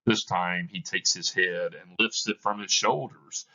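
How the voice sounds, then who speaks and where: A man reading stage directions aloud as scripted narration, with measured, deliberate phrasing. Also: deep voice, pushed and bright, highs cut off. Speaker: reader of a play for students.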